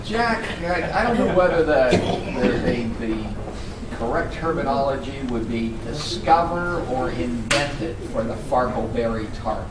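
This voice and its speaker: A man moderating a panel before an audience, asking unscripted questions in a halting way. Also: medium pitch, lively intonation. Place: echoey bookstore event space. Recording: echoey noisy room from far off.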